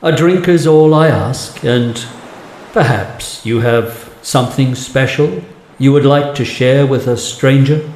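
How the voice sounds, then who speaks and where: An actor playing a mysterious, courteous ghostly stranger in an audio drama, speaking in a measured way. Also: male voice, mid-range voice, darkish tone, lightly acted. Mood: courteous, sly. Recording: echoey, with faint background noise.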